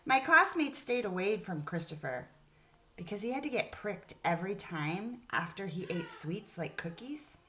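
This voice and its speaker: A woman's voice: medium pitch, a bit bright. A woman teacher speaking to children in an encouraging, instructive way as she leads a read-aloud lesson. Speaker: elementary school teacher.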